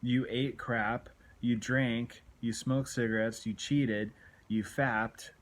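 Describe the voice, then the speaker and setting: A man's voice: mid-range voice, steady tone, slow pace. Earnest man in his late forties. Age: late 40s. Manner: earnest, repetitive, exhorting. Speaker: self-help video blogger. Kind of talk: candid confessional motivational talk to camera. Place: small room at home, indoors. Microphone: phone at arm's length.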